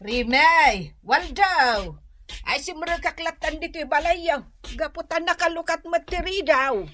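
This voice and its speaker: A woman's voice: sits high, animated, measured pace, a bit bright, slight background noise. A woman with a Filipino accent playing a wheedling older mother asking favours in a comedy radio drama. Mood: fussy.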